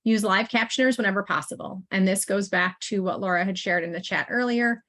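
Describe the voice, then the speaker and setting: A woman in the middle of an online training session, speaking in a mid-range voice through a computer mic. She talks in a loose, conversational way, full of small fillers and quick asides to the people listening. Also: mid-range voice, a bit bright. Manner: friendly and informal, with frequent fillers and asides. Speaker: assistive technology consultant presenting a training. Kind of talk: conversational webinar presentation.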